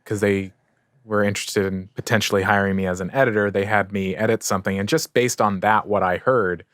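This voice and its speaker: A man with a lowish voice hosts a relaxed podcast conversation. He is recorded dry, with very little room sound, and talks in an informal, conversational way. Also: brisk, dry recording. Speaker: interview show host, audio editor. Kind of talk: casual podcast chat.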